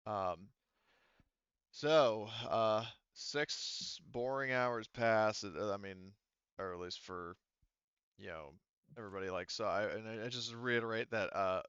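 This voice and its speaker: A man running a tabletop roleplaying game, narrating off the cuff and thinking aloud in a halting way. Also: fairly low pitch, a bit bright, clean tone, dry recording, muffled recording. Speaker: game master.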